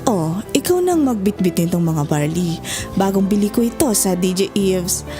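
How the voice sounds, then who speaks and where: A woman playing a kindly middle-aged mother in a Filipino audio drama, speaking in a gentle, reassuring way. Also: mid-range voice, lively intonation, moderate pace, over music, natural voice. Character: kindly middle-aged mother, warm old college friend.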